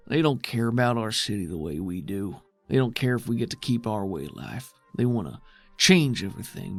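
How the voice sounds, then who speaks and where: Tabletop RPG role, acted by a man: worried, bitter city loyalist, plainspoken and gruff, mid pitch, music bed. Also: no echo, close to an everyday voice. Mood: resigned, bitter. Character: bitter, worried, steady man guarding his underground city.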